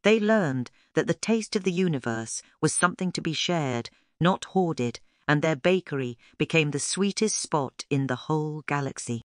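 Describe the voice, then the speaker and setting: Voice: medium pitch, lively intonation, normal speed, dry recording, clean studio sound. A narrator reading a scripted children's story aloud as a storyteller.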